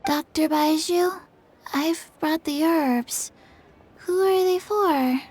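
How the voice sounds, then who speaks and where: A woman voicing a small, earnest, dutiful little girl in a video game, speaking haltingly. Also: high-pitched, darkish tone, a little hiss or hum. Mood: earnest, curious.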